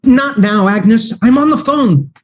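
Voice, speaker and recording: An actor playing an eager, bumbling private detective in a comic radio play, with a hesitant, stammering delivery. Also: male voice, very high-pitched, takes it slowly. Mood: harried.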